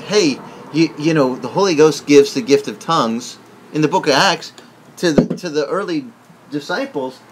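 A middle-aged man whose first language is Italian delivers an animated teaching sermon, gesturing broadly and putting questions to his listeners. His voice sits a little high for a man. A faint hiss of noise runs under him in the wood-paneled room. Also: rough recording. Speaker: pastor.

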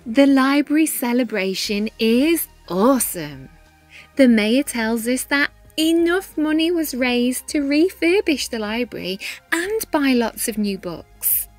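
A woman reading a children's picture book aloud in an expressive, animated manner with dramatic pauses and emphasis. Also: high-pitched, faint music behind. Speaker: read-aloud storyteller, teacher-style reader.

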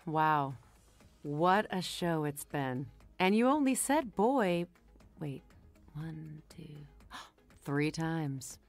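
A woman's voice: deep voice, animated, very slow, soft background music. A woman co-hosting a games showcase in a scripted, playful style.

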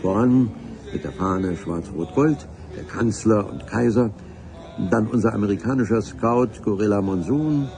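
An actor playing a solemn, pompous ministry official who narrates a radio play adventure in a grandiloquent, deliberate way. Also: male voice, fairly low pitch, warm tone. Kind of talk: German radio play narration, mock-epic.

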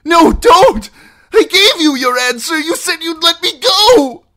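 A man voicing a cowardly captive lordling in an audiobook, shrill and frantic, stammering excuses and pleas. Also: animated, slow pace, fairly bright tone, full character voice. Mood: panicked pleading. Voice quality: shrill, squealing. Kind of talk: audiobook character acting.

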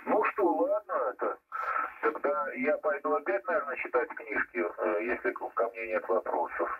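A man's voice: fairly high pitch, big pitch swings, normal speed, gravelly, husky. A man, an amateur radio operator, talking casually over a radio transmission. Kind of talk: casual ham radio contact. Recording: thin phone-like radio signal, humming, distant.

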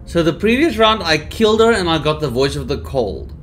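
A man's voice: fairly high pitch, big pitch swings, fairly quick, slight background noise. A young man in a headset, giving joking, reactive commentary while he plays a game indoors. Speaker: gaming youtuber. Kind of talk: let's play commentary. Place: indoor room.

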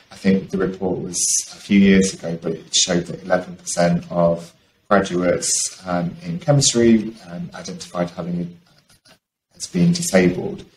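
A man's voice: low-pitched, creaky. A man speaking as a panelist on a video call, explaining his points in a discussion. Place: indoor room, video call. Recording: roomy video call, faint background noise.

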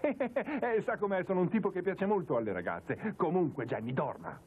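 An actor playing an earnest, clumsy young man with a husky voice in a cartoon dub. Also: sits high, lively intonation, normal speed. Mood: sheepish, joking. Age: young adult. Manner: earnest, concerned. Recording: slightly thin, narrow dubbed audio.

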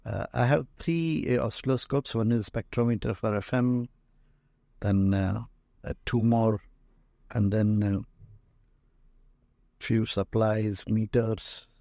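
Indian male tour guide, mellow and unhurried, halting phrasing, informal walk-through narration. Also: lowish voice, vocal fry, dry recording. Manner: halting, pausing often mid-phrase. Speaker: museum owner and radio restorer giving a tour. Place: indoor museum and workshop room full of shelves.

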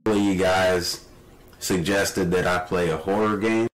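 Deep-voiced congested gaming youtuber. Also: male voice, takes it slowly. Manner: relaxed, chatty. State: congested, stuffy nose. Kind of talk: casual let's-play commentary.

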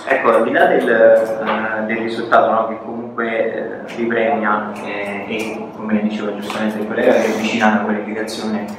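A man's voice: lowish voice, flat delivery, moderate pace, few pauses. A man, a journalist, asking hesitant questions full of fillers at a press conference. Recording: echoey room, far from the mic, noisy.

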